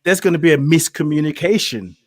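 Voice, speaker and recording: A man speaking in a measured, reflective way about spiritual practice, laughing easily between thoughts. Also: fairly high pitch, animated.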